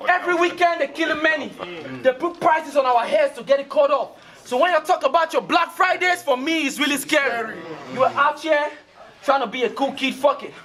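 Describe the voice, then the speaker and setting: A man's voice: extremely high pitch, a little fast, a crowd in the background. A man performing battle rap verses in a boastful, taunting way. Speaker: battle rapper.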